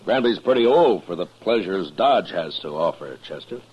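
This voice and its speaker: An actor playing a weary, wry frontier marshal in a radio western, dry and laconic in manner. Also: male voice, low-pitched, expressive, moderate pace, breathy edge. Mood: calm, reflective. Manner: dry, laconic, gently teasing. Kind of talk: 1950s radio western acting. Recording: dull, faintly noisy old radio recording.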